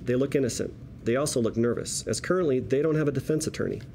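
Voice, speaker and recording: A man reading stage directions at a table read as narrator, in a wry, deliberate storytelling manner. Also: medium pitch, mellow, slight background noise.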